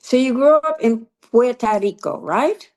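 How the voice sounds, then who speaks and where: A woman's voice: a little high, expressive, unhurried, darkish tone. A woman hosting an interview podcast, asking direct, prompting questions. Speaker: podcast host, psychotherapist.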